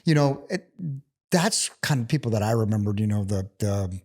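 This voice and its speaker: A man's voice: on the low side, normal speed. Chatty middle-aged man telling stories. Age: 50s. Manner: animated storyteller, chatty with lots of "you know". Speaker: guest, former pro wrestler. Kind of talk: casual anecdotal storytelling.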